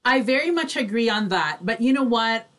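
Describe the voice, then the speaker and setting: Animated woman co-host with highish voice. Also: moderate pace. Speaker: online radio show co-host. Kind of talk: casual chat.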